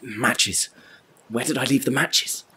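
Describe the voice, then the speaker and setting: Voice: mid-range voice, slow pace, sharp tone, slight background noise. An actor playing a wary, sarcastic human stranded by the sea in an audio play, with a dry, questioning manner. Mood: shaken.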